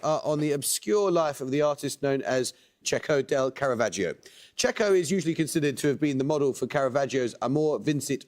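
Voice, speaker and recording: A man hosting a quiz show, reading questions and introductions with a measured, precise delivery. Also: medium pitch, a little mellow.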